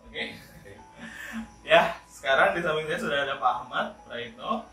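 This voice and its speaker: Bright-voiced man hosting, in an echoey room. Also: fairly high pitch, moderate pace, creaky. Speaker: host of an interview channel. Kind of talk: casual podcast interview. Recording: roomy echo, distant mic, faint music.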